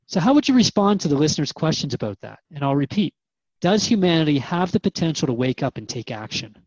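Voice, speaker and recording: A man's voice: fairly high pitch, very animated, fast, a little mellow, no echo, lo-fi sound. A man playing an earnest, searching radio host in a drama, asking questions in a thoughtful, conversational, hesitant way. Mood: earnest, concerned. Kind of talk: radio drama interview dialogue.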